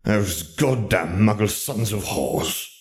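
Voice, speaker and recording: A man voicing a bitter, arrogant dark wizard for an audiobook, soft yet commanding, ranting with scornful, precise words. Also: fairly low pitch, animated, slow. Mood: furious, scornful. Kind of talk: audiobook character voice.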